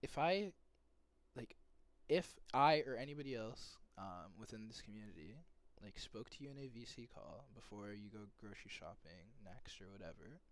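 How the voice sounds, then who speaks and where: A man's voice: fairly low pitch, expressive, moderate pace. A young man from Somalia hosting a casual call, hesitant and persuasive, full of ums and likes. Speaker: host. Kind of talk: casual debate on a video call.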